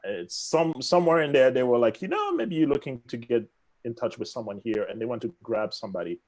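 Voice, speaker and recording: A man from the Congo whose first language is French, speaking with his accent as an interview guest, telling his story in an animated, self-deprecating way. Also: medium pitch, brisk. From Democratic Republic of the Congo. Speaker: guest, real estate executive.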